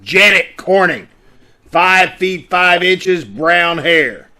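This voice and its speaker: Man acting a high, creaky, blustering police inspector. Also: lively intonation, very slow, bright, forceful tone, heavily acted. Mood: brusque, bossy. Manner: blustering, sarcastic. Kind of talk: 1940s radio detective drama acting. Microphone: video call.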